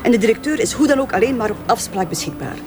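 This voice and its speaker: An actress playing a snippy, officious Flemish hotel receptionist in a radio drama, speaking curtly. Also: female voice, on the high side, animated, fast, music in the background. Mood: curt, dismissive. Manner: curt, officious. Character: brisk, snippy female hotel head receptionist. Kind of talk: naturalistic radio drama acting.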